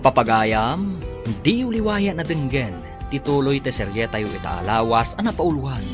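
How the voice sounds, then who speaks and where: A man announces and narrates a radio serial with dramatic, scripted delivery. His medium-pitched voice swings widely up and down over prominent music. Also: very animated, normal speed.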